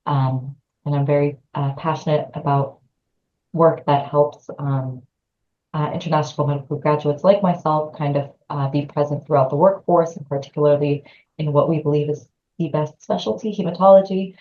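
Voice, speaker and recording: Low, mellow woman on a dull line. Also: fairly even intonation, moderate pace. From Lebanon. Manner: thoughtful, with hesitant fillers. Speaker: assistant professor, guest. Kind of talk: casual interview answers.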